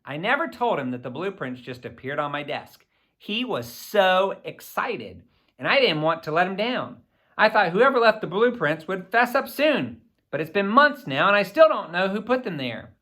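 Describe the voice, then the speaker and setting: A man reading a children's novel aloud in the first person, with expressive, theatrical phrasing aimed at young listeners. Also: high voice, moderate pace. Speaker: audiobook reader.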